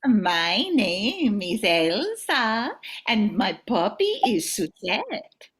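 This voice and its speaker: A woman's voice: mid-range voice, big pitch swings, very slow, exaggerated voice. A woman playing a gracious young Swedish dog owner in an amateur radio play, politely spoken. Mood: friendly, pleased.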